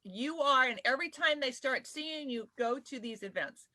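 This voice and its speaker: A bright, forceful woman with a fairly high voice talks on a live panel video call. She is animated and quick to laugh. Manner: animated, laughs often, says she has a deep voice. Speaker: spokeswoman and panelist. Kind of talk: casual panel chat on a live video broadcast.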